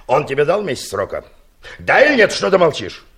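Feisty, high-pitched old man with creak. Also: huge pitch swings, a little fast, fairly bright tone, full character voice. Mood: bossy, scheming. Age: older. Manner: emphatic, domineering, theatrical. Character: feisty, boastful, bossy old engineer of sixty-two. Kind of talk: Soviet audio play acting.

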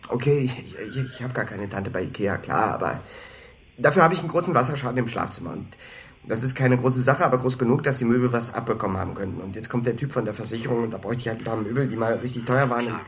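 A man's voice: medium pitch, fast talker, slight background noise, close to an everyday voice. A man acting in a radio play as a frantic, glib small-time con man who stammers and pleads. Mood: pleading, evasive.

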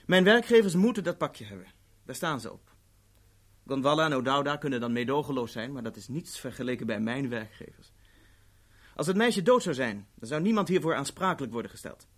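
A man's voice: mid-range voice, expressive, brisk, lightly acted. A man acting in a 1970s Dutch radio play as an urbane, wry agent who delivers threats politely and matter-of-factly. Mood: suave, menacing. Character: urbane, coolly menacing male agent of a ruthless organisation.

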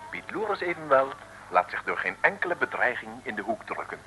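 A man's voice: mid-range voice, very animated, fairly quick, sharp tone, a little hiss or hum, rough recording. A man narrating an old radio serial in a theatrical, tongue-in-cheek, humorous style. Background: music.

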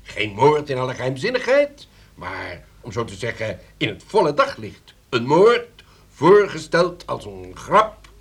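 An actor in a Dutch radio play playing a shrewd, deliberate older relative who poses as a police commissioner, speaking with measured authority. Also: male voice, mid-range voice, wildly swooping pitch, husky, heavily acted. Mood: stern, assured.

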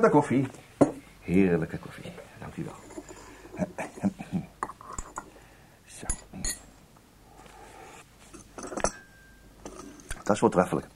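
An actor playing a courteous, quietly sly government investigator in a radio play, with a husky voice and a hesitant, musing way of questioning. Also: male voice, sits high, slight background noise, exaggerated voice. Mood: polite, sly. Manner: hesitant, polite, musing, repeats himself. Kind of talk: Dutch radio drama acting.

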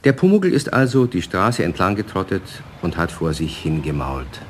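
A man narrating a children's radio play as an expressive, colloquial storyteller. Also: low-pitched, normal speed, a little hiss or hum.

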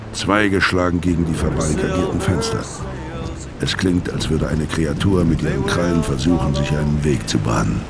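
A weary old killer in a radio play. His voice sits extremely low and crackles with gravel. He speaks gravely and tersely, with music behind him. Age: older. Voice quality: very deep, gravelly. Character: weary, gravelly aging ex-killer facing death, narrating. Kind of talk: radio drama dialogue and first-person narration.

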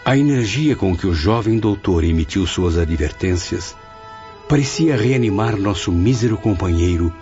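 A man's voice: on the low side, lively intonation, normal speed, mellow, lightly acted. A man acting a troubled, questioning spirit who recounts his memories in a radio drama, grave and literary. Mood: moved. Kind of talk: radio drama narration and dialogue. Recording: dull lo-fi audio over music.

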